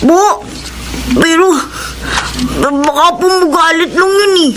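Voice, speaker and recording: A dutiful young boy from a poor home in a radio drama. The voice is very high and youthful, speaking slowly and haltingly with gentle respect. Faint noise sits behind it. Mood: hesitant, polite. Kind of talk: Filipino radio drama acting.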